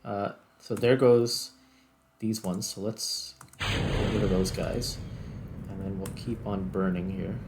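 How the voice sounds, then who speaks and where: A man giving casual gameplay commentary, thinking aloud in short, halting phrases. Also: fairly low pitch, steady tone, heavy background noise. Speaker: gaming video host.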